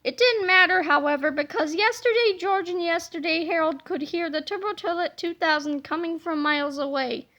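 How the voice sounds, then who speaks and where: A woman storyteller reading a children's book aloud in an expressive, dramatic way. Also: very high voice, moderate pace, hardly any pauses, muffled recording.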